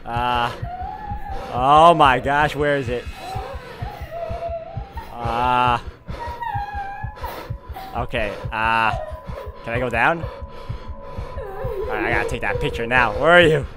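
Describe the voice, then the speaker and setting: A man's voice: mid-range voice, sing-song, slow pace, pauses often. A man hosting a gaming video, reading a visual novel aloud in a theatrical, suspenseful way. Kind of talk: dramatic read-aloud of visual novel game with player commentary. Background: game music.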